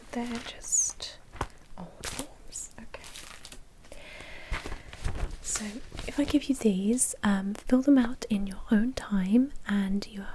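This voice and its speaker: A woman whispering an ASMR roleplay as a polite, businesslike vampire bank manager, explaining things softly and matter-of-factly with small hesitations. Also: mid-range voice, a little hiss or hum.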